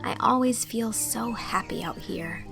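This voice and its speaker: A woman's voice: on the high side, unhurried, creaky, music in the background. A woman voicing a spirited, dreamy young college student in an audio drama, speaking expressively and earnestly.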